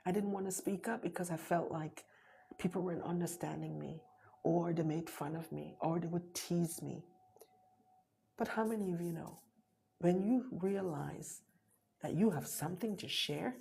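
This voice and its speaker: Husky Jamaican woman giving motivational talk. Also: low-pitched, warm tone. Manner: urgent, repetitive, drops to whispers for emphasis. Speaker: motivational live host.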